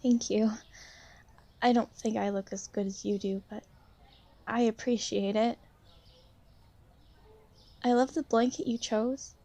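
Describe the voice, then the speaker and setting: A woman playing a shy, gentle young vampire in an ASMR roleplay, speaking softly and hesitantly to the listener. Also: on the high side, steady tone. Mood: shy, fond. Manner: hesitant, soft-spoken, gently halting. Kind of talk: ASMR roleplay, soft intimate talk to the listener.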